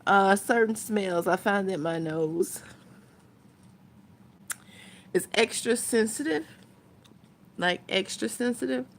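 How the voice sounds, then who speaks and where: A woman speaks at a slow, unhurried pace in a mid-range voice. She chats casually to her viewers like a vlogger, rambling a little and thinking out loud. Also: mid-range voice, a little hiss or hum.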